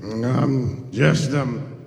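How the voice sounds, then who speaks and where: A man playing a gruff, distraught older druggist who speaks haltingly in a live radio play. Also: medium pitch, darkish tone. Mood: distracted, grieving. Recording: echoey reverberant hall.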